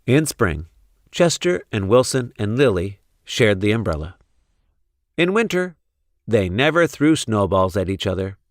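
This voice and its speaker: A man's voice: fairly low pitch, sing-song, measured pace, no echo. Guy reading a kids picture book, takes his time, dry close sound. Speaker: storybook reader. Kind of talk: expressive scripted children's narration.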